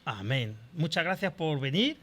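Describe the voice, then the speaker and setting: A man with a notably high voice speaks as the host of a podcast. He addresses listeners and his guest in an interviewer's conversational style. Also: big pitch swings, a little slow, a bit bright.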